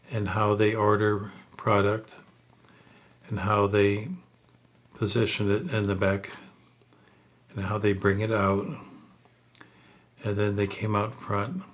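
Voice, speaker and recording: A man telling a story aloud in a halting, measured way, unpolished and in short phrases. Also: fairly low pitch, level tone, fairly bright tone.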